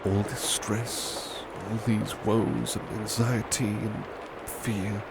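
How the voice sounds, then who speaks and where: A man playing a huge, gentle, devoted butler in an ASMR roleplay, speaking in a halting, formal, softly reassuring way. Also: on the low side, level tone, gravelly, very noisy. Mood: tender, loving. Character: huge, gentle, devoted male butler, fanged, red-eyed. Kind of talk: ASMR roleplay, soothing personal attention.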